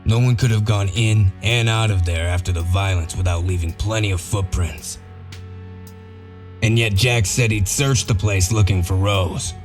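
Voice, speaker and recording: Guy doing a gruff wolf detective for a comic dub, low voice, sardonic and methodical, bit of music behind. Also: fairly even intonation, moderate pace, lightly acted. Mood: dry recounting. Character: gruff, shrewd wolf detective laying out a staged crime.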